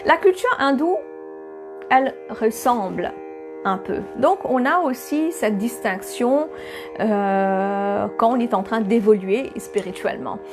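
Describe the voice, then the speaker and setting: A woman's voice: fairly high pitch, animated, slow pace. Humble Mauritian woman, music behind. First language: Bhojpuri. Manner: humble, gentle, many hesitations. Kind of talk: bilingual spiritual teaching video. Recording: music playing clearly behind her.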